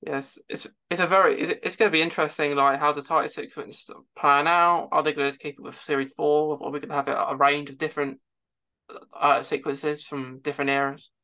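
A man hosting a casual fan chat as interviewer, speaking haltingly with repeated words and false starts. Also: fairly high pitch, flat delivery, sharp tone. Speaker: podcast host interviewing a guest.

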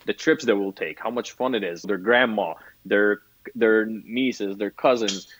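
A man's voice: on the low side, measured pace, no echo, rough recording. A man from Egypt appearing as a podcast guest, chatting casually and eagerly asking questions. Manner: curious, eager, full of questions. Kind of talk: casual conversation.

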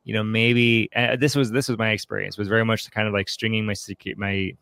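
A man co-hosting a podcast in a casual, reflective book discussion, haltingly repeating his words as he thinks. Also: lowish voice, level tone, creaky, a bit bright, no room sound. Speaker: podcast co-host.

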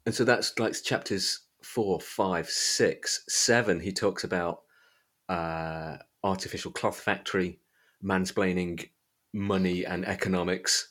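A man hosting a podcast, talking casually about books in a hesitant, halting way. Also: deep voice, fairly even intonation, a bit bright. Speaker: podcast host. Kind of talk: casual book discussion chat.

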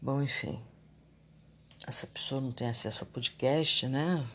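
A man's voice: mid-range voice, measured pace, a little hiss or hum. A man giving a reflective, confessional monologue on spirituality and daily life. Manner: reflective and confessional, animated when irritated.

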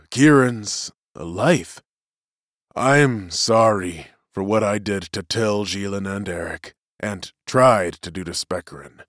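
A man playing a remorseful former criminal in an audiobook, confessing humbly and haltingly. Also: fairly low pitch, fairly bright tone, no room sound, clean studio sound.

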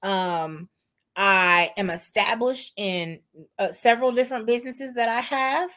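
A woman's voice: on the high side, measured pace, a bit bright. A self-assured woman in her early forties talks through her own story in a casual chat. She keeps checking in with her listener as she goes. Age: 40s.

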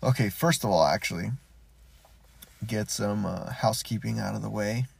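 A man's voice: on the low side, normal speed, creaky, a little mellow, slightly rough. A man hosting a casual solo podcast from his parked car, a native Spanish speaker, talking in a rambling, laid-back way with frequent restarts. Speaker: podcast host.

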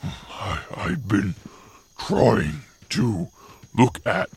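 An actor playing a gentle male monster in a radio drama, gravelly and husky, speaking in halting, broken short phrases. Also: lowish voice, sing-song, no room sound, heavily acted. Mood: earnest, halting.

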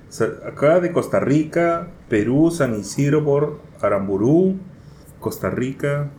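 A man's voice: medium pitch, lively intonation, measured pace, darkish tone. Peruvian man, chatty podcast co-host. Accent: Peruvian Spanish. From Peru. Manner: reads listener messages aloud, chatty. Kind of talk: casual chat. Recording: echoey room with faint noise.

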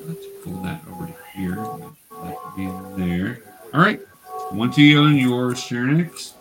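A man's voice: on the low side, unhurried, over music. A man running a tabletop roleplaying game as game master, explaining the steps patiently and casually to players.

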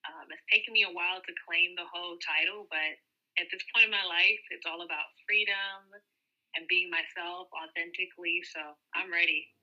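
Muffled lo-fi call, woman, slightly low pitch, podcast guest, candid, conversational storytelling. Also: steady tone, normal speed. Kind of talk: personal storytelling in interview.

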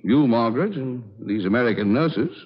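An actor playing a calm, authoritative medical officer with a measured, reassuring manner in a 1940s radio drama. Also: male voice, mid-range voice, husky. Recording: dull old radio broadcast.

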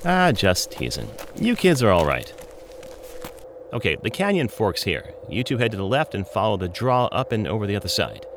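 A man's voice: fairly low pitch, very animated, moderate pace, fairly bright tone. A wisecracking coyote played by a man needles everyone with slangy sarcasm. The delivery leaps up and down constantly in a comic audio-drama style, over a noisy outdoor ambience. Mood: teasing, instructive. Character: wisecracking, smart-aleck male talking coyote. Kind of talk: comedic audio drama acting.

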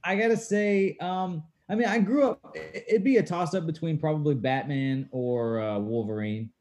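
A man's voice: fairly high pitch, moderate pace, darkish tone. A man in his thirties, a guest in a casual chat, telling stories in a laid-back, self-mocking way. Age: 30s.

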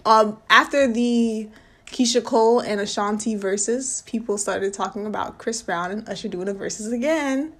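A woman's voice: fairly high pitch, normal speed. An American woman hosting a casual pop culture talk, speaking in an opinionated, blunt and slangy way. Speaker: talk show or podcast host.

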